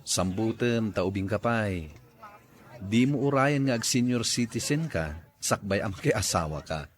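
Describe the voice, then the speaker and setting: A man's voice: lowish voice, lively intonation, unhurried. An actor playing a blunt, teasing male friend in a radio drama, with an Ilocano accent. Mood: blunt, joking.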